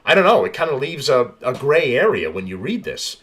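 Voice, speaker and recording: A man's voice: medium pitch, sing-song, fairly quick, fairly bright tone. Man, podcast host, reads news then rants, blunt, swears, rambles. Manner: blunt, profane, rambling asides. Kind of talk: reading news and commenting.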